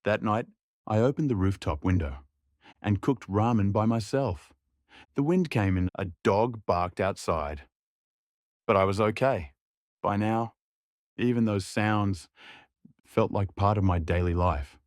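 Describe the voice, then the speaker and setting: A young man narrating a drama in the first person, in a quiet, reflective way. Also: lowish voice, measured pace, pauses often, no echo, clean studio sound.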